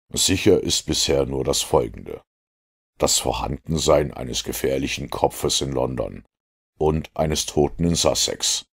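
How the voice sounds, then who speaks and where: Man playing a commanding male detective, measured, deliberate, very deep, husky, storybook dialogue. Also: full character voice. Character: calm, commanding, analytical male master detective. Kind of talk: audiobook character dialogue.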